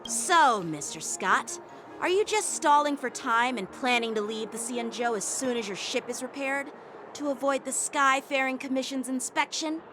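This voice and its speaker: A woman's voice: high voice, normal speed, over music, lightly acted. An actress voicing a strict, formal woman port inspection officer. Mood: probing. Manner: formal and insistent. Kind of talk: game voice acting.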